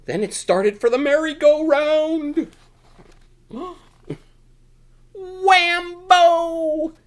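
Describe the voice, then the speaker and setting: A man's voice: extremely high pitch, drawn-out words. A man reading a children's picture book aloud in a playful, exclamatory manner with dramatic emphasis. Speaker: storytime reader.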